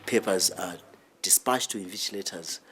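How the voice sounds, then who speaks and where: A man explains procedures in an interview, as a member of school staff. His voice is fairly low, over faint background noise. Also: normal speed, a bit bright.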